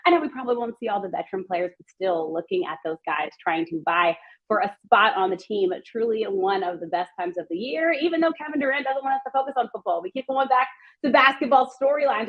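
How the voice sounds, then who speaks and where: Woman, upbeat sports show host, brisk chatty delivery, slightly worn voice, dull streamed sound. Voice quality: voice worn and deeper than usual. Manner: excited, upbeat. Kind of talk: live sports talk, chatty.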